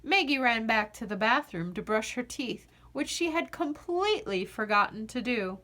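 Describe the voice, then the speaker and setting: A woman reading a children's picture book aloud as an expressive narrator, with deliberate phrasing and dramatic pauses. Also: on the high side. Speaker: children's storybook reader.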